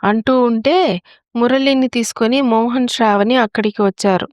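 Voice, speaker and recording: A woman narrates a story in a fairly high voice. It is scripted storytelling, recorded dry with no room sound. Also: moderate pace, darkish tone, dry recording.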